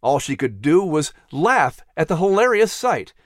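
A man narrating a children's story from a script in an expressive storytelling style. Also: a little high, a little slow, dry recording, studio quality. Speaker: storyteller and host.

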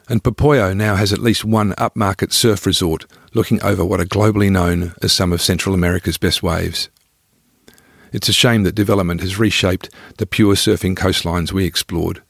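Male narrator, low gravelly voice, understated dry wit, reading a personal travel story. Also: no room sound. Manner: wry, understated, colloquial. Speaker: author narrating own travel memoir. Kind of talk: scripted first-person storytelling.

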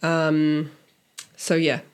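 A woman with a New Zealand accent, a netball player guest, speaking in a playful, measured way. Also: low voice, monotone, clear, resonant tone.

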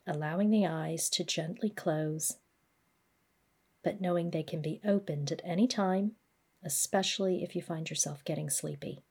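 A woman gently guiding a body scan meditation, speaking in a measured, instructional way. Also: lowish voice, husky. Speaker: mindfulness practice guide.

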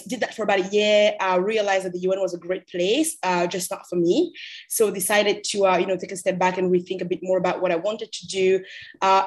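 A young woman from Cameroon speaks as an interview guest in a medium-pitched voice. Her delivery is informal and candid, explaining things conversationally as she goes. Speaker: podcast guest.

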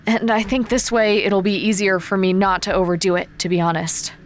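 A woman acting as a considerate young-sounding magic user in an audio drama. She has a medium-pitched voice and talks candidly, reasoning things through. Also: flat delivery, brisk, close to an everyday voice. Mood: earnest. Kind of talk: fiction podcast acting.

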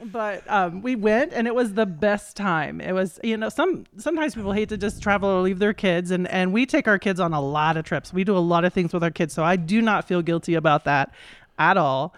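A woman's voice: on the low side, fairly quick. A middle-aged American woman telling stories casually as a podcast guest, chatty and acting out bits of dialogue. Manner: chatty, acts out quoted dialogue. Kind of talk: casual conversational storytelling.

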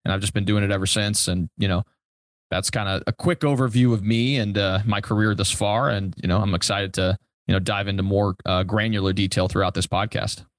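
A young adult man answers interview questions in a casual, conversational way. His voice sits fairly low and moves along quickly. He is a podcast guest talking informally. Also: steady tone, no echo, clean studio sound. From Europe, born in Italy and raised there and in Germany until fourteen. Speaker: podcast guest, commercial real estate broker.

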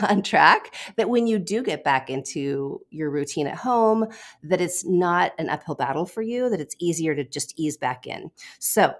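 A woman hosting a podcast with a head cold that makes her voice nasal, talking casually and encouragingly with the odd light laugh. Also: sits low. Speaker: podcast host and nutrition coach. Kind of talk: casual solo podcast advice.

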